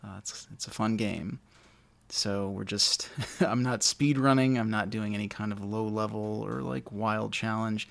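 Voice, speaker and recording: A man with a naturally low voice, casually commentating a video game he loves, a little mumbly and self-deprecating. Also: steady tone, a little slow, vocal fry, fairly bright tone. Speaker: let's play host.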